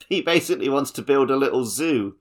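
A man's voice: mid-range voice, expressive, moderate pace. A man co-hosting a gaming podcast, talking casually about a video game. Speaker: podcast co-host.

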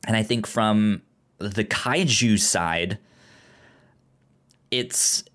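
A man's voice: on the low side, drawn-out words, fairly bright tone. A man co-hosting a podcast, chatting casually and stammering over repeated syllables and words. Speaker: podcast co-host reviewing a film. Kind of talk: casual discussion.

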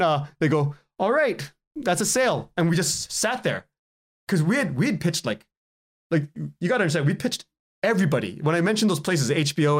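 Chatty man, slightly high voice. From Canada. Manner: chatty, acts out quoted dialogue, chuckles while talking. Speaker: podcast guest, writer and podcaster. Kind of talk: animated casual storytelling in an interview.

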